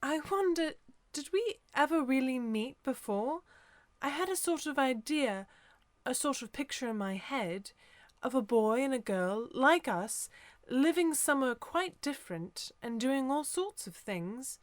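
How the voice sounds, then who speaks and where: A man reading a storybook aloud, voicing a dreamy, earnest young girl in a soft, wondering way. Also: very high-pitched, lively intonation, a little slow, dry recording, pitched above the performer's own voice.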